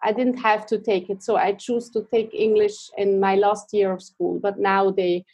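Swiss woman guest, 30s, soft mellow tone, hesitant English. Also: mid-range voice, little pitch movement, moderate pace, husky, rough recording. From Switzerland. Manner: hesitant, searching for English words. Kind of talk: casual interview chat.

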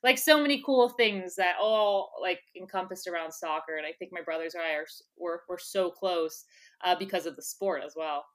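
Chatty woman with a low voice. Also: normal speed. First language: English. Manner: chatty, laughs often, many "like" fillers. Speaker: interview guest, former soccer player. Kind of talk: casual conversational interview.

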